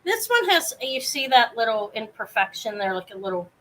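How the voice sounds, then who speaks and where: Brief: woman, live-sale host, loud carrying voice, rambly and self-interrupting, home setup. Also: fairly high pitch, very animated, normal speed, rough recording. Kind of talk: casual live sale chat. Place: home apartment, fan running.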